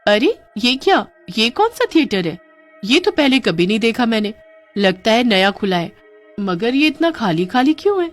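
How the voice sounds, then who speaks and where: A woman voicing a headstrong, excitable young girl in a cartoon, exclaiming in a childlike way. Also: a little high, moderate pace. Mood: curious. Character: headstrong movie-mad young girl, boastful yet easily frightened. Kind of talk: Hindi cartoon voice acting. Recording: music under the voice.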